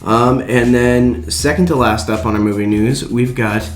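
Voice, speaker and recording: Casting: young male movie podcast host, relaxed conversational style, average pitch, roomy recording. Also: normal speed. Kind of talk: casual chat. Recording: slightly echoey room, music underneath. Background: background music.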